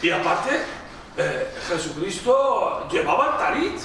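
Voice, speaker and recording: A man's voice: sits high, very animated, slow pace, gravelly, a crowd in the background. Iraqi-accented man lecturing in an echoey hall. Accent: Iraqi Arabic. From Iraq. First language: Aramaic. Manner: emphatic, repeats phrases, slips and restarts words. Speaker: guest lecturer. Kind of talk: church lecture. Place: large echoey church hall. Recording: echoey hall audio from a distance with noise.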